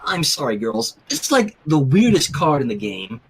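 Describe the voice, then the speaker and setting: Casting: male reader as teasing teenage girl in charge, husky, swooping pitch. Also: wildly swooping pitch, normal speed, exaggerated voice, rough recording. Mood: bossy, amused. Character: bossy, teasing teenage girl running the game.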